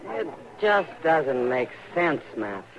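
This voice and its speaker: An actress playing a warm-hearted, practical frontier townswoman in a radio western, speaking earnestly and a little haltingly. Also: deep voice, big pitch swings, full character voice. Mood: saddened, disbelieving. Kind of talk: old-time radio western acting. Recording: dull, lo-fi vintage radio recording.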